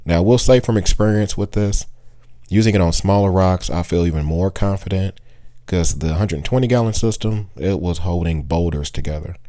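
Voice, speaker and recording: Young adult male, casual tips voice-over, deep voice, dry close sound. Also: moderate pace, no echo. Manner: relaxed, chatty, gives tips with small laughs. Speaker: aquarium hobbyist vlogger.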